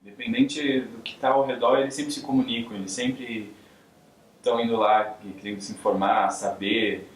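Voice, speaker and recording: A man's voice: medium pitch, flat delivery, moderate pace. A man giving casual impressions in a documentary interview. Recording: roomy, distant mic, faint noise.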